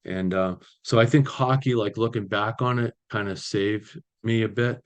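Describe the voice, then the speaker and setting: A man, sharing his recovery story at a meeting over a video call, halting with many fillers. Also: lowish voice, fairly even intonation. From Boston area, US. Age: 60s. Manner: halting, many ums and you-knows, restarts. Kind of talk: personal recovery share.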